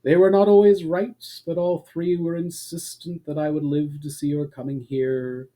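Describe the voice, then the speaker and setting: A man voicing an ancient male elf border guard in a storybook reading, courteous, wistful and weary, with formal, measured speech. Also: fairly high pitch, little pitch movement, warm tone, voice pushed up, close to an everyday voice. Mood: sad, resigned. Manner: formal, measured, archaic phrasing.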